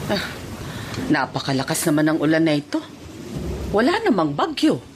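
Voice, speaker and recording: A woman's voice: deep voice, huge pitch swings, normal speed, heavy background noise. A woman playing a worried middle-aged mother and long-suffering wife in a Filipino radio drama, with a Filipino accent.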